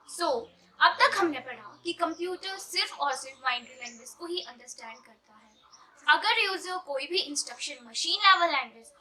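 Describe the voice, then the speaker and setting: A young Indian woman with an Indian accent explaining a computer science lesson and mixing English terms into Hindi, reading English definitions slowly. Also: high-pitched, bright, forceful tone, poor audio.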